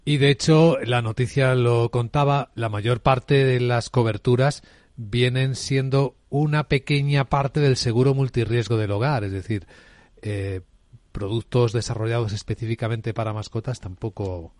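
A man's voice: mid-range voice, steady tone, moderate pace, darkish tone, no room sound, clean studio sound. A man hosting a radio business interview, explaining points and ending them with "¿no?". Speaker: radio host.

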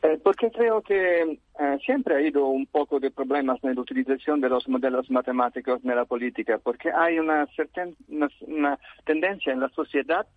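Italian man on a tinny phone line. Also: on the high side. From Italy. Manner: halting, many fillers and restarts, mixes in Italian words. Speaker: guest researcher. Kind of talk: phone interview.

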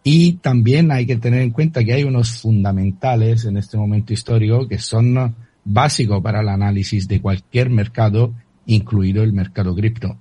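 A man's voice: lowish voice, normal speed, mellow, slightly rough, no echo. A man from Italy with an Italian accent, a guest on a radio interview who often repeats words while searching for the right term.

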